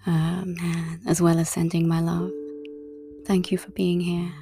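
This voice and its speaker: A woman and tarot reader speaking a calm, soft-spoken monologue in a relaxing, breathy half-whisper. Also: on the low side, unhurried. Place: outdoors in a wooded area. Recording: clear guitar music underneath. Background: guitar music.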